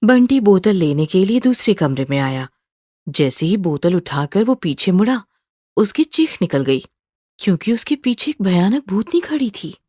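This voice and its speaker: A woman's voice: mid-range voice, sing-song, moderate pace, warm tone, no echo, studio quality. A woman narrating a scripted horror story with suspenseful, dramatic delivery.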